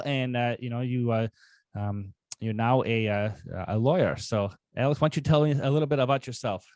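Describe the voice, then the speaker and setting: A man's voice: mid-range voice, no room sound. An American man speaking as a podcast host in a conversational style.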